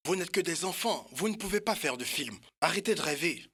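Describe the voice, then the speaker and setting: A man's voice: a little high, expressive, brisk, fairly bright tone, breathy edge, exaggerated voice. A man voicing a grumpy, dismissive adult in an educational cartoon, curt and scornful. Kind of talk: dubbed educational cartoon acting.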